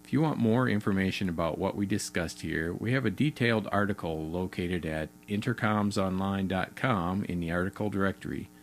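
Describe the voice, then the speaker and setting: A man's voice: low-pitched, brisk, hardly any pauses, a little hiss or hum. A man reading scripted instructional narration in a plain, methodical explaining manner. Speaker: explainer video narrator for a radio retailer.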